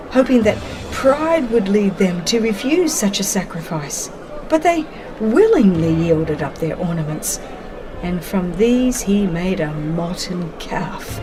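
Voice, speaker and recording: A woman narrates scripture history in a solemn, expressive audiobook style. Her pace is slow and deliberate, at a middle pitch, with music clearly playing behind her. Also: low-quality recording. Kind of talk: dramatized scripted religious narration.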